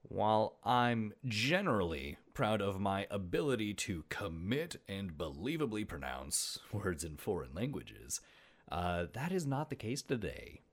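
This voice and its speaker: A man hosting a casual podcast, hoarse today, chatty and self-deprecating with playful asides. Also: fairly low pitch, sing-song, measured pace. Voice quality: gravelly. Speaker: podcast host. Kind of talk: casual solo podcast about saints.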